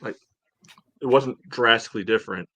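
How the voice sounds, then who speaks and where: A man co-hosting a soccer podcast, giving casual analysis in a measured, halting, conversational way. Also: mid-range voice, a bit bright. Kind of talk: casual sports analysis chat.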